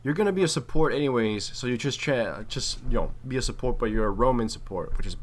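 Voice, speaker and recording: A man's voice: mid-range voice, animated, quick pace. A man giving casual, wry game commentary with some mild swearing and trash talk. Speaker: gaming video commentator and player. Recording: clearly noisy home recording.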